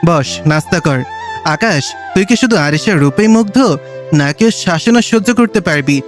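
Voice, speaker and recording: A man's voice: high-pitched, expressive, normal speed, soft background music. A man playing a stern, shrewd, wealthy old grandfather in an audio story, speaking in a commanding, probing way. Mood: probing, authoritative.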